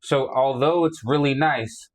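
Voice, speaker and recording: A man's voice: mid-range voice, measured pace. A man in his thirties from New York, a guest rapper talking in a laid-back, rambling way on a casual podcast. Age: 30s.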